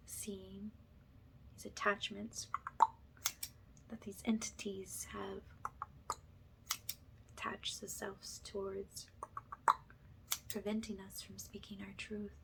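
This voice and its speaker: A woman speaking softly and breathily, often slipping into a whisper, gently reassuring in the style of a guided ASMR energy-healing session. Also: mid-range voice, creaky, heavy background noise. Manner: gentle, slow, reassuring, affirming.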